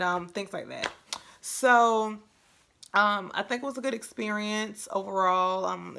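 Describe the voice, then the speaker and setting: A woman's voice: mid-range voice, a bit bright. A woman in her thirties chatting casually to camera as a vlogger, recorded indoors in a room at home. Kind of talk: casual chat to camera recounting an experience.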